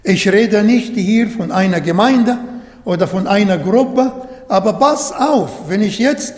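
A man preaching in German that is not his native tongue, with a fairly high voice and a slow, deliberate delivery. He repeats phrases and restarts sentences to drive each point home. The recording rings with long reverb, like a large church hall. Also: animated. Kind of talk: sermon.

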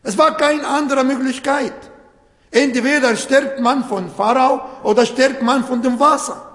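A man's voice: very high voice, measured pace, a bit bright. A man whose first language is Arabic, preaching a sermon in German in an earnest, emphatic way with grammatical slips. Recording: echoey hall with long reverb.

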